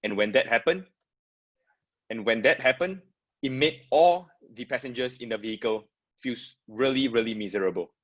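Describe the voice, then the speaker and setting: A man from Singapore talks through an online design presentation in a loose, chatty way, often repeating himself and leaning on fillers. His voice sits at an ordinary pitch and comes through a dull, lo-fi video call line. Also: moderate pace, fairly bright tone. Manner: casual, repeats words, many fillers. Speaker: guest speaker, product designer. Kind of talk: webinar presentation.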